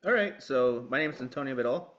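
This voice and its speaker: A man from Peru speaking casually and haltingly as a podcast guest, with dull lo-fi sound. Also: mid-range voice, lively intonation, a bit bright. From Lima, Peru. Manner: halting, hesitant delivery. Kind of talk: casual self-introduction.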